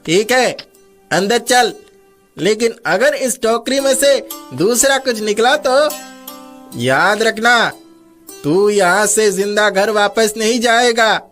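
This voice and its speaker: A man voicing a threatening, scolding palace guard in a cartoon story, bossy and gruff in manner. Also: high-pitched, slow, a bit bright, soft background music. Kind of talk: Hindi cartoon story character dubbing.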